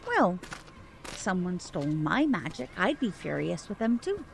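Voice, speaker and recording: A woman's voice: fairly low pitch, sing-song, gravelly, slight background noise. Woman playing a gracious, guarded magician mother. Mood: coolly menacing. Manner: polite, measured, formal. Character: protective magician mother, gracious but sharply suspicious. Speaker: voice actor in an audio drama. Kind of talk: audio drama acting.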